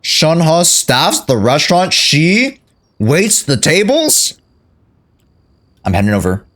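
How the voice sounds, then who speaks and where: A man live-streaming a game, chatting casually in a slangy, joking way with drawn-out phrasing. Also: fairly high pitch, sing-song, a bit bright.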